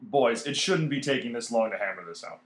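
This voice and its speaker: A man's voice: medium pitch, expressive, brisk, natural voice. A man acting an impatient, exasperated 1930s talent agent in old-time radio comedy, brusque and streetwise. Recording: roomy, echoing room sound.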